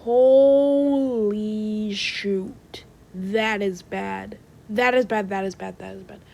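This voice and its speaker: A woman's voice: mid-range voice, fairly even intonation, slow, creaky, noisy background. A woman playing a stressed, exasperated rocket company staffer in a naturalistic amateur audio drama. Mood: dismayed.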